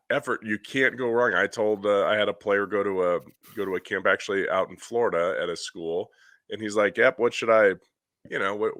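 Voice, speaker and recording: A man's voice: fairly low pitch, fairly bright tone, no echo, studio quality. A man hosting a podcast as a hitting coach, explaining at length in a casual way with frequent restarts. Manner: explanatory, with many restarts and "you know".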